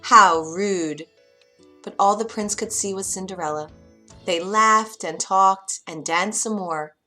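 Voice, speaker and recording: Bright-voiced woman reading a children's story. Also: medium pitch, a little slow, faint music behind. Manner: expressive, dramatic reading. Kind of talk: children's bedtime story narration.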